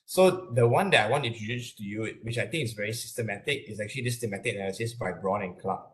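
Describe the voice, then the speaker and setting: Low-voiced Malaysian man, halting lecturer. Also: fairly bright tone. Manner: hesitant, halting delivery with repeated words. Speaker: academic lecturer. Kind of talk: webinar lecture explaining slides.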